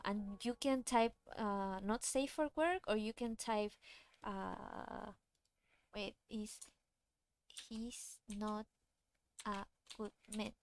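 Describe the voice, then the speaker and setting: A woman's voice: on the high side, clean tone. A woman whose first language is Cantonese, chatting casually on a livestream while she draws, rambling and answering chat questions. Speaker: streamer drawing a webcomic. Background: faint music.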